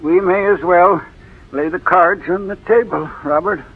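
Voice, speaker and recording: An actor playing a sly, ailing old uncle in 1940s radio drama, deliberate and needling. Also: male voice, high voice, husky, exaggerated voice. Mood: sardonic. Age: older. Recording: muffled old radio recording, narrow band.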